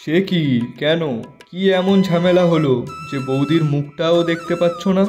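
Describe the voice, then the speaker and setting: A man's voice: on the high side, steady tone, normal speed, a little mellow, soft background music, natural voice. A man playing a nosy, kindly younger farmhand in a cartoon, with an inquisitive, exclamatory way of talking. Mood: nosy, scolding. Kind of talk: animated moral story dialogue.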